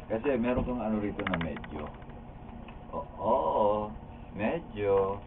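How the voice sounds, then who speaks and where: A man's voice: fairly low pitch, animated, slow. A man with a Filipino accent, coaching others through a radio drama rehearsal in a studio. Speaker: radio drama director and actor. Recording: distant, tinny, noisy behind-the-scenes studio footage.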